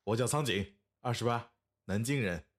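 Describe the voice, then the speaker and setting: An actor playing a calm, courteous young pastry chef in a radio drama, a soft-spoken, polite man. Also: mid-range voice, normal speed, close to an everyday voice. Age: young adult. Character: calm, courteous handsome 28-year-old male pastry chef.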